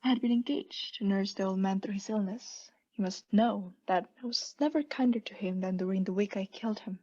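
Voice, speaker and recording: A woman playing a mad condemned murderess in a radio play, intense and halting, often sinking into breathy hushed tones as she insists she is sane. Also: mid-range voice, lo-fi sound, natural voice. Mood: hushed, conspiratorial. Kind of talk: theatrical radio play monologue.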